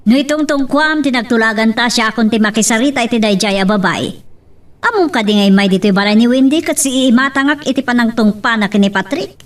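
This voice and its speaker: A scornful, meddling mother from a family melodrama, played by a woman, speaking with an Ilocano accent. Her voice is high and sounds set back from the microphone. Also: fairly quick, distant mic, natural voice. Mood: bitter, scheming. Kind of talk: Ilocano audio melodrama acting.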